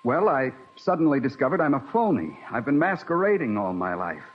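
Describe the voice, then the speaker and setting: An actor playing a polite but disillusioned young male college student in 1950s radio drama, earnest, slangy and husky. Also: medium pitch, big pitch swings, brisk. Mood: bitter, upset. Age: young adult. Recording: muffled vintage radio recording.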